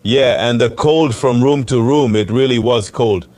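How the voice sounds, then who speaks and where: A man's voice: mid-range voice, measured pace. Congested male travel vlogger at an airport. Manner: relaxed, chatty, explaining as he goes. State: a bit congested. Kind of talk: casual travel vlog chat. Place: busy airport terminal. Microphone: wireless clip-on mic with noise cancelling.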